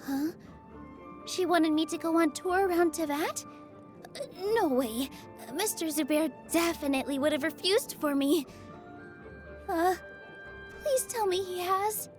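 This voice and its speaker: An actress voicing a gentle, cheerful young woman dancer in a video game, speaking in a sweet, earnest, easily flustered manner. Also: extremely high pitch, unhurried, long pauses, faint music behind. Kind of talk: video game character voice lines.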